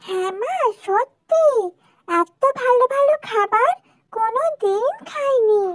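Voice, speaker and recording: Little village kid, extremely high voice, slow delivery, plaintive, affectionate, doll-story dialogue. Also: female voice, lively intonation, no echo, studio quality, heavily acted. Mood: delighted. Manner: plaintive, childlike. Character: hungry, affectionate small village child.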